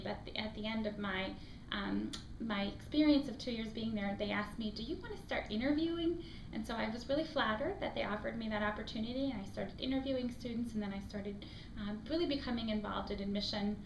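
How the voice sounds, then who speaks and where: A woman from Afghanistan, raised in the United States, with an American accent, who works as a school admissions director and answers interview questions in an earnest, reflective way with frequent ums. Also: mid-range voice. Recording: echoey room with background noise.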